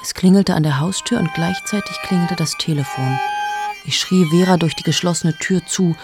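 A woman's voice: fairly low pitch, moderate pace, loud music behind the voice, lightly acted. An actress in her late thirties playing a cold, amoral journalist in a radio play monologue, speaking intimately to the listener and often dropping into whispers. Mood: confiding. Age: late 30s. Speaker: radio drama lead.

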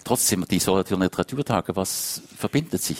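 Low-voiced Swiss male moderator. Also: animated, brisk. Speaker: panel moderator. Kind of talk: live literary interview.

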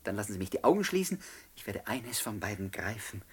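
A man's voice: on the low side, big pitch swings, fairly quick, slightly rough, full character voice. An actor playing a cheerful, penniless con man in a 1960s radio comedy, with a playful, theatrical, mock-courteous manner. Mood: mock-polite, sly. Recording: faintly noisy 1960s radio recording.

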